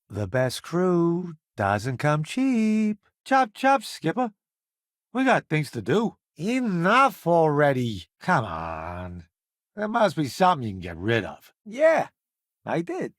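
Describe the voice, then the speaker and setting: A showy, wry space-crew food critic, animated. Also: male voice, a little high, slow, no room sound, clean studio sound, heavily acted. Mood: boastful, chatty. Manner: wry, flippant, theatrical, slangy. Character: jaded, showy middle-aged food critic turned hired space crewman. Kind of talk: video game companion dialogue.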